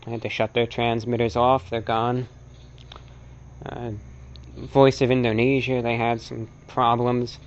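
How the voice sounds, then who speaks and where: A man with an American accent gives an unscripted, opinionated lecture in a halting, emphatic way, repeating short phrases for effect. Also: mid-range voice, level tone, dull audio. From North America. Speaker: presenter, shortwave radio enthusiast.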